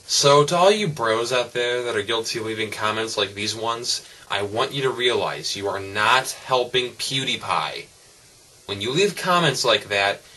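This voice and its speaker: Male YouTube commentator, bright and pointed tone, measured and articulate, reading a prepared rebuttal. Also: mid-range voice, low-quality recording. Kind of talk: scripted response commentary.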